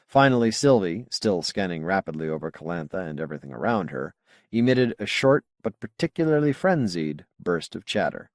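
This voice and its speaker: A man reading a science fiction novel as an audiobook narrator, with measured, clearly phrased delivery. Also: low-pitched, sing-song, dry recording, clean studio sound.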